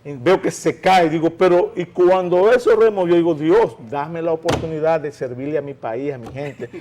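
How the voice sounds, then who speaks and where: A middle-aged man with a Dominican accent holds the floor on a talk radio panel. His voice sits a little high, and he tells his stories in a lively way. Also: normal speed, a little hiss or hum. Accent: Dominican Spanish. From Dominican Republic. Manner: animated storyteller, talks over others. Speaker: radio talk show host. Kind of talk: casual panel chat.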